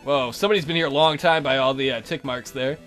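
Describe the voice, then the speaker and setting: A man's voice: medium pitch, fast, fairly bright tone, faint music behind. A man hosting a let's play, making wry, irreverent asides in casual commentary.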